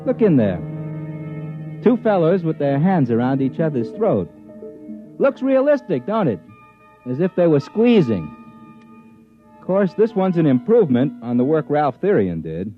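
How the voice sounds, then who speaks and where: A man's voice: on the high side, big pitch swings, unhurried, long pauses. A man narrating his own story in a folksy, rambling way for a 1950s radio drama. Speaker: narrator playing himself. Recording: dull old radio broadcast with music.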